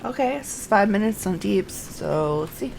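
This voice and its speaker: A woman with a creaky voice live-streaming a game, speaking casually as she plays. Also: mid-range voice, expressive, normal speed, a little mellow, a little hiss or hum, low-quality recording. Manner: thinks aloud in short fragments. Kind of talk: live game stream, reading quest dialogue aloud and muttering casually.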